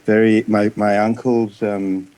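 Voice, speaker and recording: An Australian man in his fifties delivers a conference lecture in a deep, mellow voice. His delivery is hesitant and halting, with many pauses and restarted words, and his intonation stays quite flat. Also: clear, resonant tone, low-quality recording. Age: 50s. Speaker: psychiatrist and addiction specialist giving a lecture.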